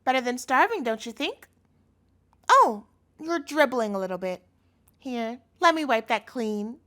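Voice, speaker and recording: Woman acting high-voiced, sugary, menacing yandere girlfriend. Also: very animated, a little slow, pushed and bright, full character voice. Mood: coaxing. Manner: sugary, coaxing, turning threatening. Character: obsessive yandere chef girlfriend, sweet but menacing. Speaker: voice actor in audio roleplay. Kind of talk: scripted intimate audio roleplay acting, addressed to the listener.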